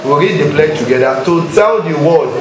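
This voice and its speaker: A man's voice: on the high side, expressive, moderate pace. A man with a Nigerian Yoruba accent speaks as a church youth leader, exhorting a congregation with repeated emphasis. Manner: rhetorical questions to listeners, repetitive emphasis. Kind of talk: exhortation to a congregation, leading a pledge. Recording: very echoey hall with crowd noise. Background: congregation murmur.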